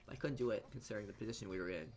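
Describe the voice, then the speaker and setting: A man reading a visual novel aloud as dramatic narration, halting often as he stumbles over and repeats words. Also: fairly low pitch, very noisy. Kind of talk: dramatic read-aloud narration for a let's play.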